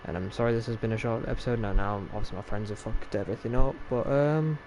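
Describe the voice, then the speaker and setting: A man's voice: mid-range voice, fast talker. Yorkshire man streaming, game noise behind. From Yorkshire, England. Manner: rambling, loose, swears freely. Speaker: gaming streamer. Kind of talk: casual gameplay commentary.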